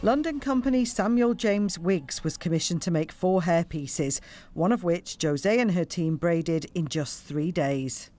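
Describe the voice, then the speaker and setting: A woman reporter narrating a broadcast piece in a measured voice. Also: lowish voice, animated, dark tone, faint music behind.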